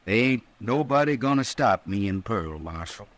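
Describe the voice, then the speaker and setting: An actor playing a stubborn, lovestruck, brooding cowhand with a rustic Western American accent and a halting, obstinate way of talking. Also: male voice, fairly low pitch, animated, fairly bright tone. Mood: brooding threat. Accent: rural American Western. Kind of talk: 1950s radio drama acting.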